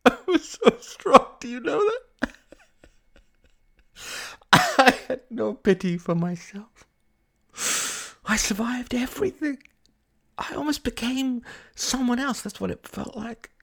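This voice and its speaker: A man's voice: a little high, normal speed, creaky, breathy edge, voice pushed up. A man voicing a giddy, overwrought young woman in an audiobook, theatrical, breathless and stammering, with a proud, tearful edge and brittle laughter. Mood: tearful, laughing. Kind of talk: audiobook character acting.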